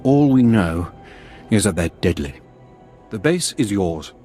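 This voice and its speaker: An actor playing a dutiful, seasoned Imperial colonel in a video game, giving formal, brisk military briefings. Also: male voice, on the low side, very animated, dark tone. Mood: businesslike. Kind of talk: dramatic video game acting. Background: background music.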